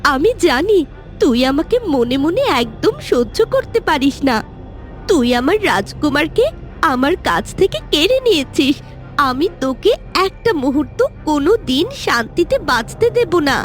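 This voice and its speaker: High-pitched scheming jealous sister. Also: female voice, big pitch swings, moderate pace, faint music behind. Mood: vengeful. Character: scheming, jealous young sister plotting revenge, feigning sweetness. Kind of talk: Bengali fairy-tale cartoon acting.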